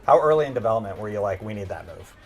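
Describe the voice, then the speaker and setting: A man's voice: fairly low pitch, animated, very fast. A man chatting casually as a co-host, asking questions and reacting to a game demo. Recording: clear crowd noise behind. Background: crowd noise.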